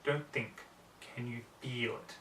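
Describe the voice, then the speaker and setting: Patient mare mentor read by a man, serious, measured, coaxing storybook teacher, echoey room with faint noise. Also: mid-range voice, steady tone, pushed and bright. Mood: intent. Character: patient, serious female unicorn pony, magic teacher. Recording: roomy, distant mic, faint noise.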